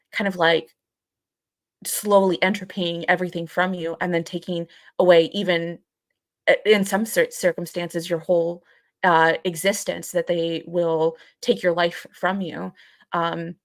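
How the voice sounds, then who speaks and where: Woman, slightly low voice, flat intonation, dry close sound, halting explanatory podcast talk. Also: no room sound. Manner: halting, repetitive as thoughts are worked out. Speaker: co-host of a live podcast. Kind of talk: explanatory discussion.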